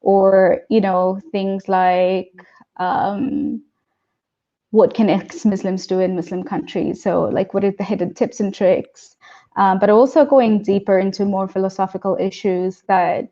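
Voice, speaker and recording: A woman guest in a casual video-call chat, talking quickly and breaking into laughs as she jokes. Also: mid-range voice, fairly even intonation, warm tone, muffled recording. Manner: chatty, joking, quick to laugh.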